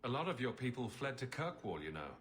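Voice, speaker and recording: An actor playing a composed, wry champion in a video game, speaking in a measured, lightly dry way. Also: male voice, mid-range voice, big pitch swings, fairly bright tone. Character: composed, wry male champion, a settled refugee. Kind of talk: video game cutscene acting.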